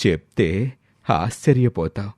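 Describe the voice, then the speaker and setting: A man voicing an elated older grandfather character in a dramatised story, speaking slowly and emphatically in a confiding way. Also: lowish voice, animated, a little mellow, no echo, clean studio sound, voice pushed down. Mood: confiding, teasing.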